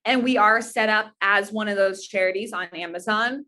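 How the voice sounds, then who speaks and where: A woman's voice: medium pitch, moderate pace, bright, forceful tone. A woman chatting casually as a guest on an interview show, talking about charity work and favorite things. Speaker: podcast guest, charity advocate.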